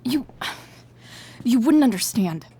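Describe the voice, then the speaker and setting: Teen rebel girl in a video game, defensive, uneasy, blunt, kind of halting, natural acting. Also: on the high side, animated, dark tone, slightly rough, heavily acted. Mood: guarded, irritated.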